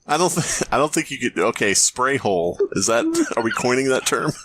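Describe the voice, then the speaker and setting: A man's voice: medium pitch, wildly swooping pitch, measured pace, noisy background. A man co-hosting a podcast in casual comedic chat, swearing and riffing in an exasperated way. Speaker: podcast co-host. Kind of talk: casual comedic chat and mocking fan fiction read-aloud.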